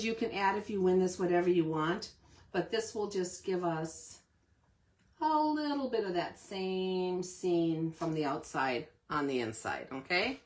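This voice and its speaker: A woman with a slightly hoarse voice who keeps clearing her throat, giving a chatty step-by-step live card-making demonstration. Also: lowish voice. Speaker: craft demonstrator.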